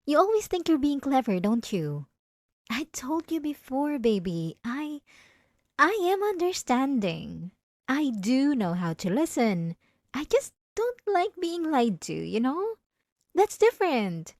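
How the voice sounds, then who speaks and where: Woman acting a possessive, sweetly menacing wife, lively pitch. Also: slow pace, no room sound, full character voice. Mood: controlled irritation. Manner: soft, coaxing, sweetly patronizing with small laughs. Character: possessive obsessive wife, sweetly menacing, coaxing her spouse. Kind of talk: ASMR roleplay acting.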